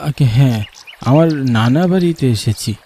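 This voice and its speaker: A man's voice: medium pitch, animated, normal speed, mellow, a little hiss or hum, natural voice. A man playing an earnest young man who narrates a cartoon ghost story in the first person. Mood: dutiful. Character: earnest young man narrating his eerie village journey.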